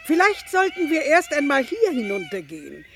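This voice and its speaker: A woman's voice: high-pitched, wildly swooping pitch, moderate pace, background noise, heavily acted. An actress playing a genteel lady out on a stroll in a period radio drama. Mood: eager.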